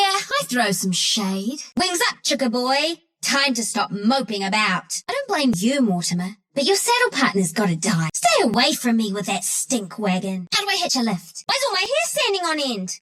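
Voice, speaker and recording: A woman's voice: on the high side, fairly bright tone. A woman voicing a cheeky, scrappy young squirrel trickster for a video game, in a slangy, cocky manner. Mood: playful, teasing. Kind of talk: video game character voice lines.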